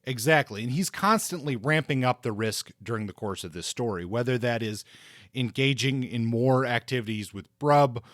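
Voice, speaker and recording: A man with a mid-pitched voice talks books as a podcast co-host. He speaks casually and works out his points aloud, rewording often. The sound is dry, with very little room in it. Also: moderate pace, fairly bright tone, no echo. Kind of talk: casual book discussion.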